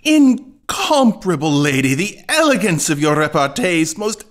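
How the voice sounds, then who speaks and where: A pompous quack suitor from a period farce. He declaims in florid, pedantic phrases with a very lively melody. The manner is grand and theatrical. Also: medium pitch, a little slow. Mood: pompous wooing. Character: pompous, pedantic male trickster posing as apothecary suitor. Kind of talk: classical stage farce acting in an audio play.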